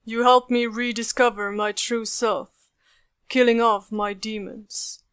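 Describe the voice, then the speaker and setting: Woman acting military commander, smooth clear voice, slightly high, dry close sound, composed, authoritative, clipped. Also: little pitch movement, takes it slowly, a bit bright, dry recording. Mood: approving. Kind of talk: fan audiobook character acting.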